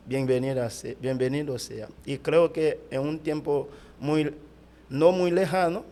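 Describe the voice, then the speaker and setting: A middle-aged Haitian man, a pastor whose first language is Haitian Creole, speaking Spanish haltingly with a Haitian accent in an interview. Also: mid-range voice, mellow. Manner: halting, searching for words in Spanish. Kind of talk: interview answers.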